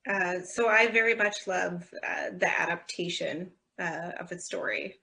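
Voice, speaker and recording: A woman's voice: on the low side, a little slow, vocal fry, bright, forceful tone. A woman talking conversationally as a guest on a panel discussion. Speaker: audio company executive, panel guest. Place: remote online video conversation.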